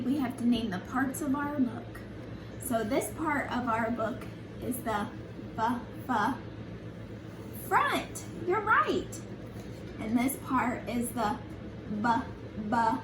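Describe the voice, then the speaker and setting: Echoey distant noisy recording, woman, very high lively voice, slow pace, children's storytime. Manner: sing-song, simple, encouraging, questioning the listeners. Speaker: preschool teacher reading aloud. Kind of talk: animated picture-book storytelling for young children. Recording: echoey room, distant mic, noisy background.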